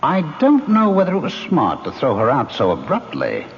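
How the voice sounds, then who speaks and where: A smooth mocking ghost, voice swoops way up and down, dull old radio sound w/ faint hiss. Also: male voice, fairly high pitch, huge pitch swings, moderate pace. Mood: taunting. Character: taunting male ghost haunting a man. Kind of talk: 1970s radio drama acting.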